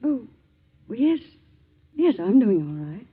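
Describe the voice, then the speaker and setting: An actress playing a regretful middle-aged woman with a husky, hesitant voice, pleading and worn by a cold, in a 1940s radio drama. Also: on the high side, sing-song, measured pace, heavily acted. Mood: weary, regretful. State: has a lingering cold. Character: shabby, regretful, timid middle-aged former wife. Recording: tinny vintage 1940s radio recording.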